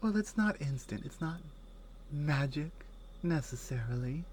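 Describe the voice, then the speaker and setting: Actress as slow-speaking, caring Nordic warrior woman. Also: deep voice, expressive, a little mellow, a little hiss or hum. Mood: reassuring, instructive. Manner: unhurried, halting phrases, often dropping to a whisper. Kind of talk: ASMR roleplay, intimate one-sided dialogue.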